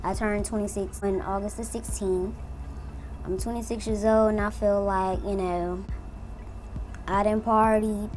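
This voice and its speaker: A woman's voice: mid-range voice, flat delivery, unhurried, darkish tone, slight background noise, poor audio. A woman in her twenties, a beauty vlogger, telling her personal story in a casual, earnest way and talking straight to her viewers. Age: 20s. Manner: chatty, earnest, addresses viewers as y'all. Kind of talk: casual testimony while doing makeup.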